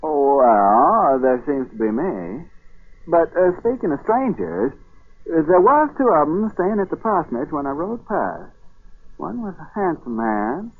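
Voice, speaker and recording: An actor playing a shy, stubborn New England farmer who talks in a hesitant, rambling, folksy way in a 1940s radio drama. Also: male voice, fairly high pitch, very animated, unhurried, breathy edge, heavily acted. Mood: folksy, unruffled. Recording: muffled vintage 1940s radio broadcast.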